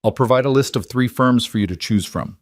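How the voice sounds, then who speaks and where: A man voicing an earnest, remorseful yet controlling mafia boss husband in an audiobook, measured and insistent. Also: mid-range voice, no echo, studio quality, lightly acted.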